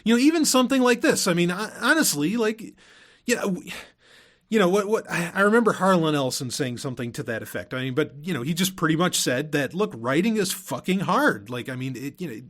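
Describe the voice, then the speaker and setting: A man's voice: high-pitched, expressive, a little fast, fairly bright tone. A man hosting a podcast in a casual solo monologue, rambling and emphatic, often repeating phrases as he circles back. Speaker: podcast host and audio drama writer.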